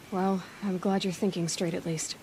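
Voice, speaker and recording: An actress playing a determined young huntress in a video game, serious and measured, stern yet compassionate. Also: female voice, fairly low pitch, a little mellow, a little hiss or hum, close to an everyday voice, poor audio. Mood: serious concern. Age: young adult. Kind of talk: dramatic video game acting.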